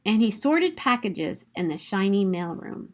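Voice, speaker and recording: A woman reading a children's picture book aloud in an expressive, emphatic storytelling manner. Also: medium pitch, unhurried.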